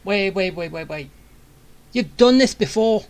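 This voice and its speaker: A woman's voice: mid-range voice, expressive, measured pace, darkish tone. A woman giving casual gameplay commentary as she reacts to what she plays. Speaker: game player reacting.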